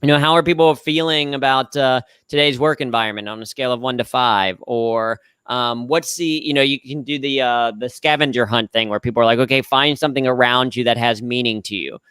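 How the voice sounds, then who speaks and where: A young man with a slightly nasal, smooth and resonant voice talks in an upbeat, explanatory way. He pokes fun at himself as he goes. The sound is close and dry, with no room echo. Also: mid-range voice, fairly quick, hardly any pauses, a bit bright. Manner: upbeat, explanatory, self-deprecating. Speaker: guest, humor trainer. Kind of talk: conversational interview answers.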